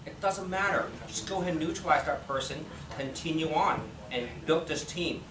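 A man's voice: a little high, moderate pace. A man in his forties presenting at a business seminar, upbeat and joking, who keeps saying "go ahead and". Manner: upbeat, jokey, repeats "go ahead and". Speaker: workshop presenter. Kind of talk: seminar talk and Q&A. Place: small meeting room with audience. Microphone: distant camera mic. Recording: echoey room from far off, background hum.

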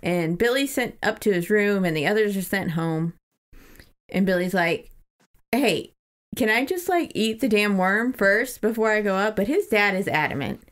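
A woman's voice: mid-range voice, expressive, moderate pace. Casting: female podcast co-host, mid-range voice, casual book chat, irreverent and loose with swearing.

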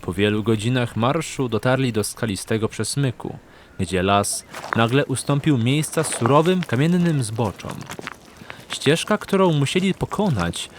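A man's voice: medium pitch, expressive, measured pace, slight background noise. A man narrating a fantasy audio drama in a scripted, dramatic storytelling style.

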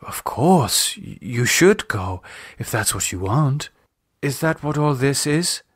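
Audiobook dialogue, male narrator as concerned woman, earnest, questioning, unhurried, very animated pitch, dry sound. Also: slightly rough, dry recording, heavily acted. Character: worried, caring woman, questioning and reproachful.

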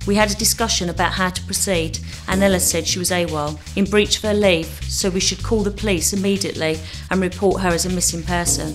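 A woman playing a measured, level-headed senior ward nurse in a scripted drama. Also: fairly low pitch, steady tone, soft background music, natural voice.

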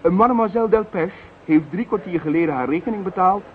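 Actor as prim hotel receptionist, thin sound. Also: male voice, sits high, fast, natural voice. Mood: coolly polite. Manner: deferential, formal, prim. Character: polite, unflappable male hotel receptionist. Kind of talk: Dutch radio play acting. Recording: thin narrow-band radio recording with hiss.

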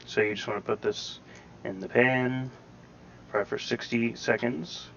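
A man's voice: medium pitch, fairly even intonation, a little slow, gravelly, fairly bright tone, highs cut off. A man giving casual gameplay tutorial commentary, rambling step by step with some hedging, his voice sounding slightly robotic over the recording. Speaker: gaming tutorial host. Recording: slightly robotic processing, faint background noise.

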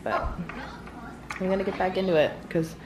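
Chatty young mom, lowish voice. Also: female voice, normal speed, background noise. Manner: chatty and self-deprecating, sighs and laughs. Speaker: vlogger, stay-at-home mom. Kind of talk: casual vlog chat. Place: home kitchen and living area, indoors. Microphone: handheld camera, nearby.

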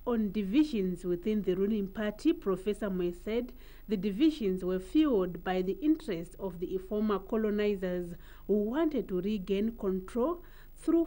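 A female news reporter with a Zimbabwean accent narrates a scripted report. Her voice is mid-pitched and distinctly mellow. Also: low-quality recording.

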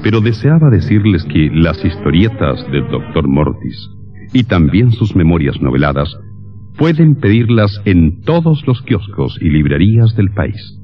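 A man's voice: sits low, sing-song, a little slow, faint music behind. A man making a scripted old-radio announcement as presenter, formal and courteous, on a dull band-limited recording.

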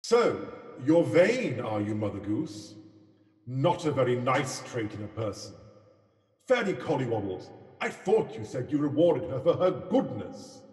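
A man's voice: medium pitch, sing-song, a little slow, a little mellow. A man playing a stern, imperious fairyland king in a radio pantomime. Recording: echoey hall, distant from mic.

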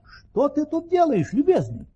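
Casting: jovial boastful baron, high voice, swooping intonation, grand storybook audio-play delivery over music. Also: male voice, huge pitch swings, a little slow, dark tone, dull audio, exaggerated voice. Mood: delighted, inviting. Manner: grand, exclamatory, courteous. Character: jovial boastful baron recounting his tall-tale travels.

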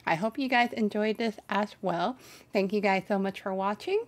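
A young adult woman giving casual reaction commentary, haltingly searching for her words, recorded on a desk microphone indoors. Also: medium pitch. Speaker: reaction video host. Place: small bedroom setup, indoors. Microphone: desk microphone on boom arm.

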